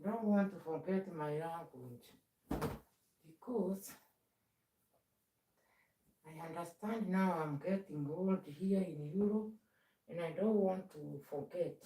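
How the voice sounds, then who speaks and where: A middle-aged woman whose first language is Swahili chats on a live stream and keeps doing housework as she talks. She speaks slowly and unhurriedly. Her phone sits away from her in an echoey room, so she sounds distant. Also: lowish voice, warm tone, slight background noise. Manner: chatty, moves around doing chores while talking. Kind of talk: casual live chat. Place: home, indoors. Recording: roomy sound, speaker often away from the mic.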